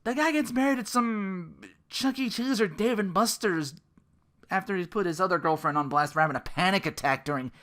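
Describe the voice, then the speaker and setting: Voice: medium pitch, a bit bright. A podcast host rambling casually in a sardonic, profane manner, often acting out quotes as part of the commentary. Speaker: podcast host and content creator.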